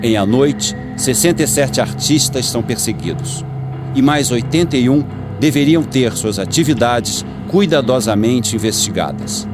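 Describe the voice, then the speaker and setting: A man's voice: mid-range voice, animated, normal speed. A Brazilian man reading scripted radio documentary narration in a solemn, dramatic manner. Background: music.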